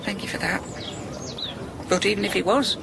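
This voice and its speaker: A woman's voice: mid-range voice, fairly even intonation, normal speed, gravelly, noisy background. An actress playing a grieving, plain-spoken middle-aged working-class mother in a radio drama, sorrowful and wistful.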